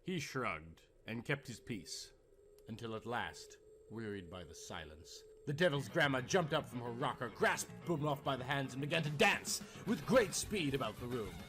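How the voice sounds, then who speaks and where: Animated male storyteller over music. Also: mid-range voice, moderate pace. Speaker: storyteller, narrator. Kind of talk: dramatic scripted story narration.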